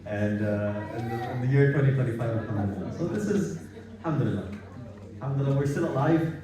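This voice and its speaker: A man from Bangladesh giving a relaxed, informal religious talk at a home gathering, repeating himself and using many religious phrases. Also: mid-range voice, fast talker, crowd noise. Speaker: halaqah speaker. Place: home living room gathering. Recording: echoey room, far from mic.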